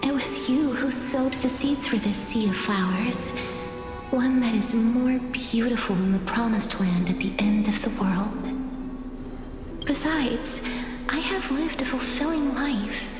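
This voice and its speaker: A young actress voicing a gentle elder sister resigned to sacrifice in a video game, soft, solemn and measured. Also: female voice, fairly high pitch, lightly acted. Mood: tender, serene. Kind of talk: dramatic video game voice acting. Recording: cavernous reverb with music behind.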